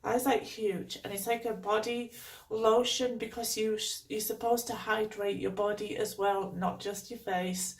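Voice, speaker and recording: Croaky Geordie woman, chatty home vlogger. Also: medium pitch, steady tone, unhurried, recorded from a distance. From North East England. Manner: chatty, rambling, lots of fillers. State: croaky voice from a cold. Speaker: beauty vlogger. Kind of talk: casual unboxing chat.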